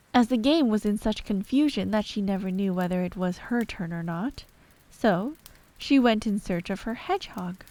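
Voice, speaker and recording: Female narrator for an ASMR bedtime story, mid-pitched, reading scripted storybook prose. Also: a little mellow, rough recording.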